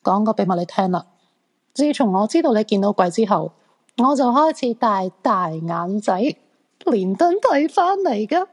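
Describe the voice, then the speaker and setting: A woman's voice: medium pitch, sing-song, moderate pace. A woman acting a cheeky, flirty fortune-teller in a Cantonese radio drama, teasing in colloquial Hong Kong speech. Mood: teasing, playful. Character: cheeky, flirty female fortune-teller friend, eager to see ghosts.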